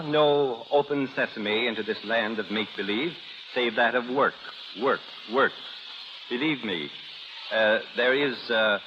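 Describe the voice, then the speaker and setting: Male drama coach, 1930s radio speech. Earnest, oratorical, animated intonation, mid pitch, dull vintage recording. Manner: earnest, oratorical, formal, emphatic lists. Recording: muffled 1930s radio recording, faint noise.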